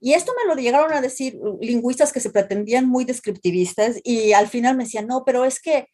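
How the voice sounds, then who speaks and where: Mexican lady prof chatting about translation, mellow, keeps restarting and tagging "no?". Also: a little high, fast talker. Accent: Mexican Spanish. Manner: thoughtful, many restarts and tag questions. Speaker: translation professor, guest. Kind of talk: academic interview talk.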